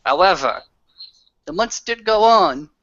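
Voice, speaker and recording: A roleplayer narrates an in-game tale in grand, theatrical language. The voice is bright and forceful, pauses for drama and swings in pitch, with a slightly dull, lo-fi recording. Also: expressive, no room sound. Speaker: player in a tabletop roleplaying game. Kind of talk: in-character storytelling in a tabletop game.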